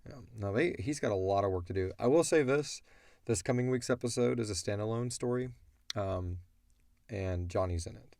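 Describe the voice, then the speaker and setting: Deep, gravelly man in casual podcast chat. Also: moderate pace, a little mellow, no room sound, clean studio sound. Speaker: audio drama creator answering listener questions.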